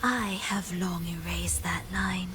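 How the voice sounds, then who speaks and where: An actress playing a grieving, obsessive woman conductor in a game, breathy, hushed and poetic. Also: fairly low pitch, fairly even intonation, noisy background. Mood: solemn resolve. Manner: slow, poetic, solemn.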